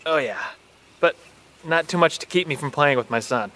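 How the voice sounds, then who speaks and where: Man acting devoted scientist father, fairly high voice, natural delivery, audio drama, faint background noise. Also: lively intonation, normal speed, close to an everyday voice. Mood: reflective, warm. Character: affectionate father and dedicated scientist.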